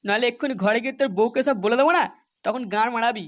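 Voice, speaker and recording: Brief: performer as mocking cartoon village lad, quick delivery, crude, scolding, faint noise behind. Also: medium pitch, lightly acted. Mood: scolding, taunting. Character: mocking, foul-mouthed village young man, scolding bully. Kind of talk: comedy cartoon dubbing.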